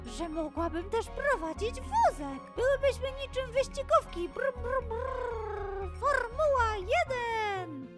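A woman voicing an energetic, jealous little girl monkey in a children's storybook, with a whiny, emphatic, childlike delivery. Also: extremely high pitch, slow pace, over loud music, voice pushed up, exaggerated voice. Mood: excited, playful.

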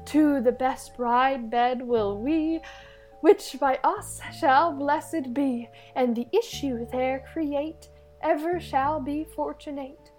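A woman's voice: sits high, a little mellow. A woman playing a serene, regal fairy ruler, giving stately, lilting incantatory verse in a radio play.

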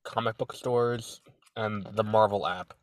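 A man's voice: fairly low pitch, fairly even intonation, unhurried, no room sound. A man with a stuffy, nasal voice from a cold, co-hosting a podcast in casual chat, with lots of fillers and restarts. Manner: rambling, hesitant, many fillers and restarts. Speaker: podcast co-host.

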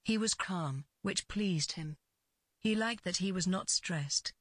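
A woman narrates scripted fiction in a lowish, husky voice. Her phrasing is deliberate, with pauses between short clauses. The sound is close and dry, with no room to it. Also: no room sound.

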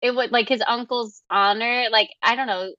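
A woman's voice: on the high side, steady tone, normal speed, pushed and bright, no room sound, dull audio. A woman chatting casually on a film podcast, loosely searching for her point and trailing off. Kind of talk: casual chat.

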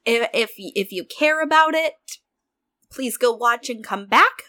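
A woman's voice: fairly high pitch, animated, measured pace, sharp tone. A woman co-hosting a casual podcast, bantering with self-deprecating humour. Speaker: podcast co-host.

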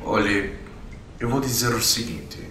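A man, running a tabletop game in a measured, explanatory way. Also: lowish voice, steady tone, pushed and bright, echoey room, distant mic, a little hiss or hum. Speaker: game master. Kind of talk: narrating a tabletop game and voicing a vampire.

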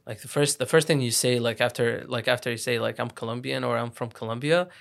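A young man from Iraq hosting a casual podcast conversation, talking fast with many restarts and fillers. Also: medium pitch, steady tone, gravelly, a bit bright. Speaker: podcast host.

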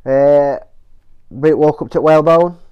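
A man, croaky from a cold, chatting dryly on a family podcast as co-host. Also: a little high, fairly even intonation, unhurried. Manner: dry, joking. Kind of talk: casual chat. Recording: dull lo-fi podcast audio.

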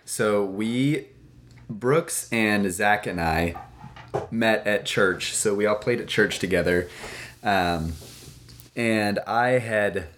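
A man's voice: on the low side, slow pace, fairly bright tone, a little hiss or hum. A young man from Singapore, a band's lead singer, chatting casually on a podcast and laughing easily. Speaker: lead singer of a band, guest.

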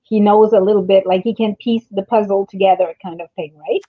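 A middle-aged woman chatting casually in an animated, storytelling way, with thin phone-like audio. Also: mid-range voice, a little fast, no echo. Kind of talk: casual chat.

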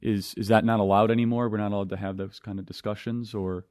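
A man's voice: on the low side, level tone, quick pace, a little mellow, dry recording, studio quality. A man hosting a talk show, putting probing questions to a panel of doctors. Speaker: host. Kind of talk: interviewing a panel.

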